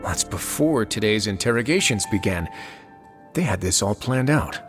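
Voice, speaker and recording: An actor voicing a dignified duke in a video game, speaking in a formal, measured, courteous way. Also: male voice, on the low side, expressive, natural voice. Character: dignified composed male duke, judicial authority. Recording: game audio with music underneath.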